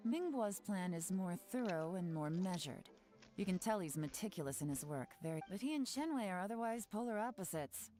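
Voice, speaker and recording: An actress playing a composed woman investigator in a game, speaking in a cool, assessing way over soft music. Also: mid-range voice, animated, moderate pace, natural voice.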